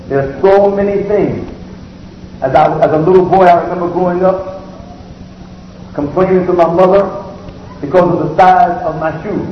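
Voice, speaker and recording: A man giving a holiday sermon as imam in a large civic center hall, preaching in a measured way with repeated phrases. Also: a little high. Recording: echoey hall, muffled, faint hiss.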